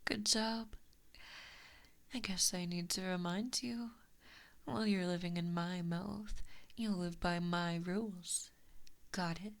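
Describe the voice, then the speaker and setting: A woman's voice: fairly low pitch, steady tone. A woman voicing an evil, possessive giant kitsune in a whispered ASMR roleplay, teasing and cruel, close on a binaural mic. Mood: smug, threatening. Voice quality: whispery, breathy. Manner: slow, taunting, mock-sweet. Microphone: close binaural microphone.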